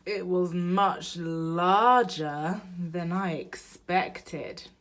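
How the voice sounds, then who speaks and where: A woman reading a children's picture book aloud in an expressive storytelling manner meant for young listeners. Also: lowish voice, extremely slow. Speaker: storybook reader.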